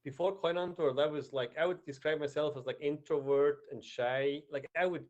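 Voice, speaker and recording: A man's voice: fairly high pitch, fairly even intonation, normal speed, lo-fi sound. A man with a Swiss German accent, a native Swiss German speaker, chatting casually on a podcast with frequent restarts. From Switzerland. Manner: hesitant, many restarts and fillers. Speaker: podcast co-host. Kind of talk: casual chat.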